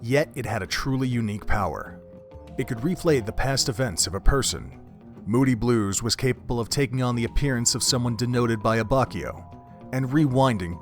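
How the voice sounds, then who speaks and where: A man narrating an audio drama in a measured, literary storytelling style. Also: mid-range voice. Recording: background music under the voice.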